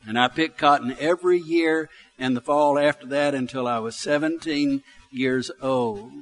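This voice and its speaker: A man's voice: mid-range voice, normal speed, poor audio. An older male pastor in his sixties speaks with a Southern American accent and a mid-range voice. He delivers his sermon as conversational, down-home storytelling, addressing his listeners directly and leaning on repetition for emphasis. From Alabama, United States. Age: 60s. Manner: folksy, conversational storytelling with repeated phrases for emphasis. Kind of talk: sermon with personal anecdotes.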